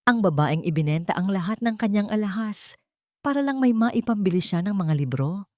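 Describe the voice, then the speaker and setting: A woman's voice: mid-range voice, lively intonation, normal speed, mellow, dry recording, clean studio sound. A woman with a Filipino accent giving a dramatic scripted narration of an audio story.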